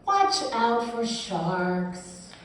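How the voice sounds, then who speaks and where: Lady doing easygoing puppet show mom, slow and lively up and down, super echoey. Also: fairly high pitch, heavily acted. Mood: caring. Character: easygoing, caring mother on holiday with daughter. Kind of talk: amateur puppet show dialogue and stage directions. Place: indoor puppet show performance, distant mic. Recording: echoey hall, far mic, faint noise.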